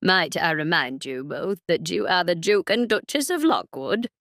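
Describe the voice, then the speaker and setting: A woman voicing a formal, dryly exasperated male household retainer in an audiobook romance, chiding with deliberate phrasing. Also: lowish voice, lively intonation, fairly bright tone, no echo, studio quality.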